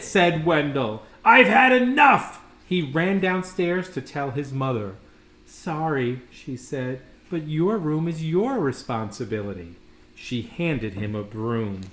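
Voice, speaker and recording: A man reads a children's story aloud at an unhurried, slow pace, friendly and informal in his delivery. His voice sits at a middling pitch. The room is echoey, with faint noise behind him. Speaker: storyteller reading a children's picture book. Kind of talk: read-aloud storytelling with casual asides.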